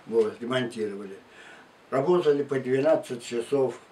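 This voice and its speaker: A man in his nineties from Russia, slowly and haltingly recounting his life story in an interview. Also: medium pitch, warm tone. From Tula region and Moscow outskirts, Russia. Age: 92, elderly. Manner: halting, unhurried, with restarts and pauses. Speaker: war veteran interviewee. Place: living room at home, indoors. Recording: distant mic, faint room noise.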